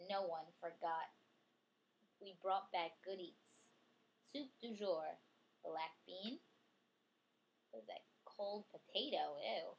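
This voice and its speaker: A woman reading a picture book aloud to a child in an expressive way, with chatty, coaxing questions for the young listener. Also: lowish voice, takes it slowly, gravelly, long pauses, poor audio.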